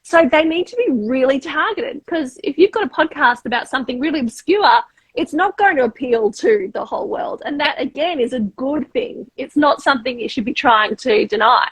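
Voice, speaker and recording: A woman's voice: high voice, lively intonation, normal speed, fairly bright tone, no room sound, poor audio. A woman with a broad Australian accent, hosting a podcast and often tagging her points with "right?". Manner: emphatic, often tags "right?". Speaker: podcast host, media coach. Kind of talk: casual podcast chat.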